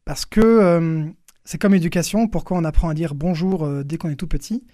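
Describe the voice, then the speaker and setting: A man's voice: a little high, moderate pace, mellow, no room sound, studio quality. A young man, a priest being interviewed, explains things in hesitant phrases, repeating words as he searches for the next. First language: French. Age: young adult.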